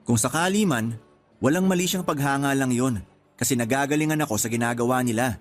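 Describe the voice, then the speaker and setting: A man's voice: medium pitch, brisk, faint music behind, natural voice. A man with a Filipino accent playing a devoted, teasing young boyfriend in a conversational radio drama. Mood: reassuring. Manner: sweet-talking, reassuring.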